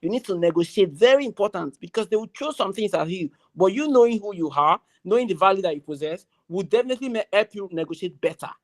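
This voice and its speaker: A young adult with a Nigerian accent giving a motivational speech at a graduation ceremony, emphatic and loud, repeating key phrases. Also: mid-range voice, lively intonation, fast, few pauses.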